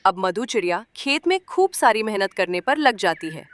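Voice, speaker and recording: A woman's voice: medium pitch, lively intonation, moderate pace. A woman narrating a scripted children's story.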